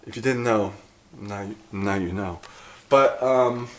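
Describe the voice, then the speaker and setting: A man in his thirties talking casually to a camera at home in a dark room, chatty and rambling, often cutting himself off mid-sentence. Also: fairly low pitch, a little slow, reverberant. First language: English. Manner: rambling, chatty, self-interrupting. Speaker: vlogger.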